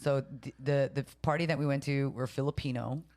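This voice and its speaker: A woman's voice: a bass voice, a little fast. Woman from san jose on a podcast, blunt, swears a lot, tells it straight. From raised in San Jose, California. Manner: blunt and swearing, tells stories with lively quoted voices. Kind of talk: casual chat.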